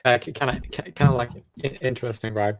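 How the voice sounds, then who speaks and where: A man from South Africa hosting a livestream, asking a stranger questions in halting, restart-heavy casual talk over a video call. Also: mid-range voice, steady tone, fairly bright tone. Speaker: livestream host chatting with strangers.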